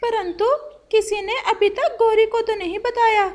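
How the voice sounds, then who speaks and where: A woman's voice: very high-pitched, fairly even intonation, unhurried, a little mellow. A woman voicing a sulky, dramatic female crow in a children's cartoon, with a whiny, complaining manner. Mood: pointed, questioning. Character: sulky, dramatic female crow wife upset about forgotten birthday. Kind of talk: Hindi children's cartoon voice acting.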